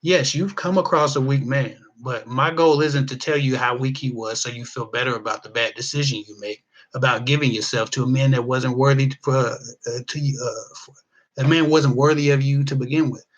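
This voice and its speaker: An American man speaks off the cuff at a medium pitch, like a vlogger chatting with his viewers. He rambles through his advice and leans on repeated phrases for emphasis. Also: fairly even intonation, moderate pace, lo-fi sound. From Midwestern US (Ohio). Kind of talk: casual unscripted advice talk to live viewers.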